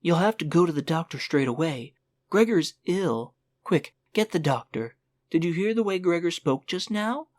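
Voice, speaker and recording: A man's voice: fairly high pitch, lively intonation, moderate pace, dry recording, pitched above the performer's own voice, close to an everyday voice. A man voicing a distraught, tearful mother in an audiobook, urgent and crying out. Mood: panicked. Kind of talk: audiobook character voice.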